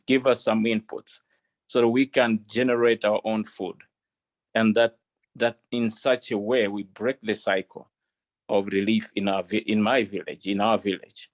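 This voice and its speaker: A man from Malawi, a panel guest on a video call, telling a story earnestly with many pauses and restarts. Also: lowish voice. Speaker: panel guest, development partner.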